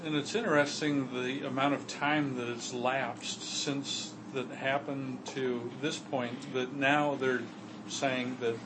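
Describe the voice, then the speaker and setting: A man's voice: mid-range voice, flat delivery, slow. Regular guy in a bible study group going on in run-on sentences, noisy background. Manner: talks in loose run-on sentences.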